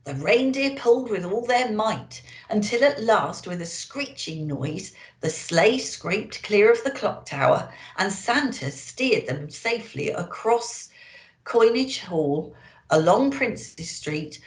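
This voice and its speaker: Slow, animated woman storyteller, echoey room. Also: mid-range voice. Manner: theatrical, addressing young listeners. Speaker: children's story reader. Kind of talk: expressive storybook narration for children. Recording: echoey, roomy sound.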